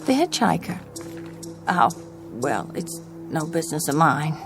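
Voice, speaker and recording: A woman's voice: low-pitched, very animated, unhurried, over music, full character voice. An actress playing an anxious, haunted young woman traveling alone in a radio drama, speaking in a hesitant, polite, uneasy way. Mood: uneasy, puzzled.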